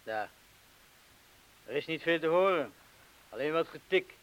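A male actor playing a cautious, steady criminal accomplice in a radio drama, speaking in a measured, laconic, firm way. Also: fairly high pitch, highs cut off. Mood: dry, terse. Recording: hissy old radio play recording.

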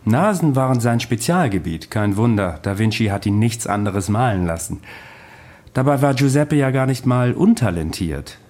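A man's voice: medium pitch, very animated, moderate pace, darkish tone, lightly acted. An actor playing a dry, candid immortal witness recounting his long past in a conversational radio drama. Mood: wry, reminiscing. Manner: matter-of-fact, wry.

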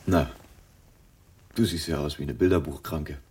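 An actor playing a gentle private detective comforting an old friend in a German audio drama, soft and sparing with words. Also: male voice, a bass voice, fairly even intonation, husky. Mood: gently teasing. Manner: soft, sparse, unhurried.

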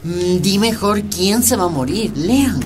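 A woman's voice: medium pitch, expressive, a little slow, over music, lightly acted. An actress playing a worried woman at a community radio, speaking in a grim, matter-of-fact way.

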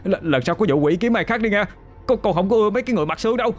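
A chubby, timid young lad with a Southern Vietnamese accent, put on by a male storyteller, stammers and squeaks in a lively, jumpy voice. He trips over every word in fright. Soft music plays faintly under the storybook tale. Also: rapid-fire. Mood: terrified stammer. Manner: stammering, panicked, squeaky when scared.

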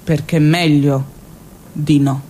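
A woman's voice: sits low, fairly even intonation, slow, dark tone, a little hiss or hum. An actress playing Gilda, a childlike young woman with a dreamy, stubborn manner, in a radio drama. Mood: curt. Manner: rambling, childish chatter.

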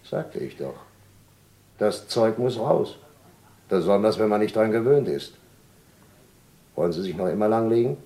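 Casting: helpful, no-nonsense staffer in a radio play; lower-pitched, echoing room. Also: male voice, expressive, measured pace, pauses often, warm tone. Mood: brisk, helpful. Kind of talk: German crime radio play acting. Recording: roomy echo, faint background noise.

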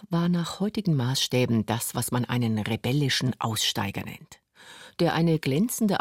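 Husky-voiced male documentary narrator. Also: mid-range voice, no echo. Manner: measured, clear storytelling delivery. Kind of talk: scripted radio documentary narration. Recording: dry studio with faint music.

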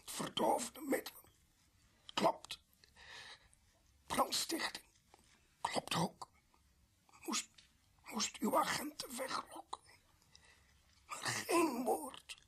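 An actor in a radio play playing a gravely wounded, bitter criminal who gasps weakly in halting, broken phrases. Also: male voice, very high-pitched, fairly bright tone, full character voice. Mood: anguished, protesting. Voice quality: breathy, husky whisper. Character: dying, bitter male criminal protesting his innocence of murder.

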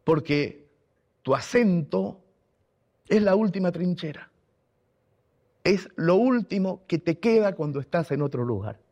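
A man's voice: sits high, expressive, a little slow, long pauses, a little mellow, dull audio. Emotional Argentine man telling a story. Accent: Argentine Spanish. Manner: emotional, emphatic, repeats key words. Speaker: radio contributor and writer. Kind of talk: personal storytelling monologue.

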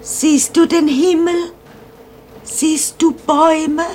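A woman playing an anxious, frightened old grandmother in a German radio play, her voice often sinking toward a breathy half-whisper. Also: sits high, flat delivery, slow pace, darkish tone, a little hiss or hum. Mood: urgent.

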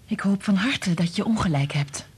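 Protective mother in radio drama; very breathy, husky voice, serious and resolute. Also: mid-range voice, normal speed. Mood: reproachful. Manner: reproachful, resolute. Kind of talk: Dutch radio play acting.

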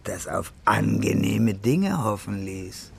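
An actor playing the composed narrator of a radio crime drama, reading scripted narration. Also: male voice, fairly low pitch, very animated, slow, creaky, breathy edge, full character voice.

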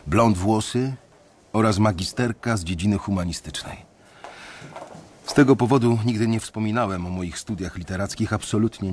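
A man narrating in the first person in a radio drama, measured and reflective. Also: low-pitched, slight background noise.

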